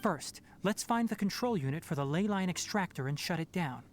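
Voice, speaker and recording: An actor voicing a young fox-eared forest ranger, calm, clever and brisk, in an anime game. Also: male voice, high voice. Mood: calm, instructive. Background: game music.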